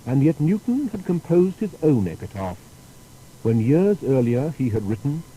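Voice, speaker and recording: A male narrator delivers a scripted documentary with emphatic phrasing. His voice is very mellow and dark, with lively melodic movement, over faint noise. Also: mid-range voice, normal speed, poor audio.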